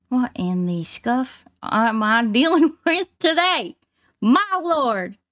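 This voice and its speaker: A woman with a put-on Southern US drawl chatting casually to livestream viewers in a folksy, exclamatory country-bumpkin way while gaming. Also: on the high side. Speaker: livestream host playing a video game. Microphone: close streaming microphone.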